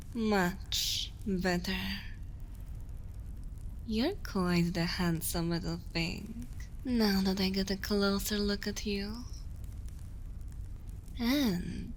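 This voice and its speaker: A woman acts out an evil queen in an ASMR roleplay, seductive and predatory. She speaks extremely slowly and deliberately in a mid-range voice, hushed and sometimes whispered. Her tone is imperious, teasing and condescending, over faint background ambience. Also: mid-range voice, slight background noise, close to an everyday voice, rough recording. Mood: commanding, teasing. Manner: slow, deliberate, hushed delivery slipping into whispers. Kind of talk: ASMR roleplay, intimate dramatic monologue.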